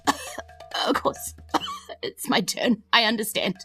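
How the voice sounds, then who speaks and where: A woman's voice: fairly high pitch, wildly swooping pitch, slow, bright, forceful tone, husky, soft background music, pitched above the performer's own voice, heavily acted. A woman with a New Zealand accent voicing a sick, frightened female prisoner who speaks hesitantly in a tabletop game. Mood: scared, resigned. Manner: hesitant, stammering.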